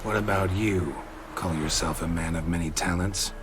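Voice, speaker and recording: An actor playing a gruff, laconic monster hunter in a video game, with a gravelly voice and terse, deadpan delivery. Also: male voice, normal speed, background noise, natural voice. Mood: wary, dry. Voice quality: gravelly, low. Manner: terse, deadpan, clipped sentences.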